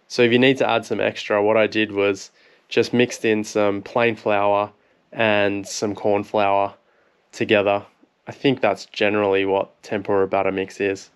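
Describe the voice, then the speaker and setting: Easygoing Australian man, smooth low voice. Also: a little slow. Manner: relaxed, plain explaining. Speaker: fishing vlogger. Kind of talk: instructional cooking voice-over.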